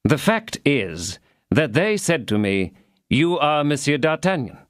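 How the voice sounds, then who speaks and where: A man voicing a calm, dry-witted musketeer who answers slowly and without fuss. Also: a little high, dry recording, pitched above the performer's own voice. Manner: calm, unhurried, understated.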